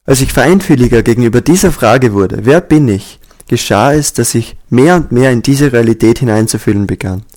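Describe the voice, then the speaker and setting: Male audiobook reader, mid-pitched voice, calm, measured, earnest read. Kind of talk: scripted audiobook reading.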